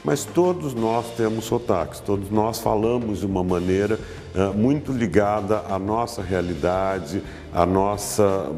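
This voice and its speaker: A man narrating a documentary segment in a calm, scripted, explanatory way. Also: on the low side, unhurried, in a large hall, faint music behind. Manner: calm, explanatory, addressing the viewer.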